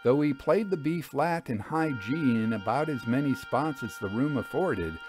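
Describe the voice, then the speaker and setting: A man narrating a first-person story in a measured, formal literary manner. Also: medium pitch, animated, over music. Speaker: storyteller reading fiction aloud.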